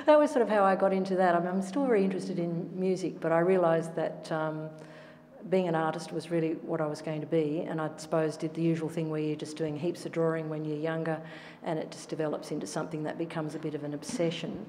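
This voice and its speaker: A middle-aged woman talks about her work in a reflective, self-deprecating way. Her voice sits a little low. It echoes with long reverb and faint noise. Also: steady tone, quick pace, warm tone. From Australia. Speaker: painter. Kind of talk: panel discussion answer. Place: art gallery room.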